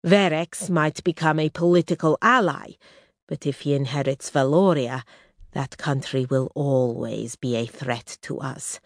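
A woman voicing a scheming, blunt foreign prince in an audiobook, speaking slowly and calculatingly. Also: very deep voice, no echo, clean studio sound, heavily acted. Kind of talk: audiobook character reading.

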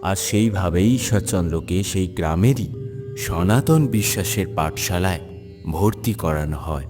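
A man's voice: sits low, lively intonation, measured pace. A man giving scripted storybook narration for a cartoon biography. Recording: long hall reverb, music underneath.

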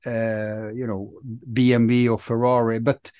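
A man in his thirties co-hosting a podcast, talking with halting restarts on a video call. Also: mid-range voice, level tone, a little mellow, clean tone, dry recording. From Norway. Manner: hesitant, repeats words. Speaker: podcast co-host. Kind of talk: casual conversation. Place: home study with bookshelves, video call. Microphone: headphones and studio mic.

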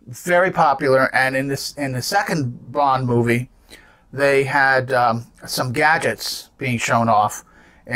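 A man's voice: mid-range voice, fairly bright tone. A man in his seventies giving an unscripted gadget demonstration in an explanatory way, posing questions and answering them himself. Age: 70s. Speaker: presenter demonstrating vintage gadgets.

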